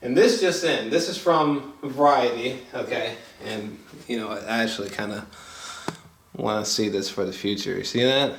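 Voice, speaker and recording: Excitable man rambling in a roomy space. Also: mid-range voice, moderate pace, slight background noise. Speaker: movie reviewer, host. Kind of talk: casual unscripted chat review. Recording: roomy echo, distant mic.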